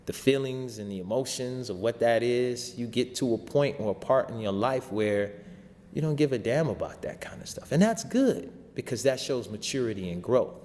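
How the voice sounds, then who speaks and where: A man's voice: mid-range voice, animated, moderate pace, darkish tone. A man giving an impassioned, sermon-like spiritual talk in informal vernacular, with rhetorical repetition. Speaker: show host and spiritual teacher. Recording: long hall-like reverb.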